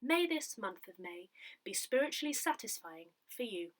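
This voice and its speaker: A woman reading a scripted community newsletter aloud, with careful, clearly phrased delivery. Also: fairly high pitch, sing-song, normal speed, fairly bright tone. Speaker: newsletter reader.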